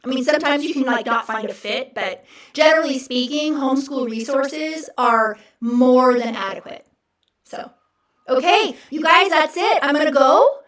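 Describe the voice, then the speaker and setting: A middle-aged woman hosting a casual livestream, chatty and encouraging in an animated way. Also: high-pitched, normal speed, roomy sound, recorded from a distance. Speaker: host.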